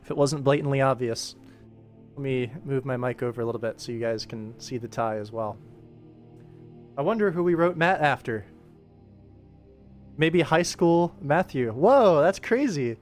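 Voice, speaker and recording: A young man with a mid-pitched voice talks casually as an animator streaming his work. He rambles, jokes and pokes fun at himself as he goes. Music plays behind him. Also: expressive, normal speed, pauses often. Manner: rambling, self-deprecating, jokey. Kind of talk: casual livestream chat while working. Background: background music.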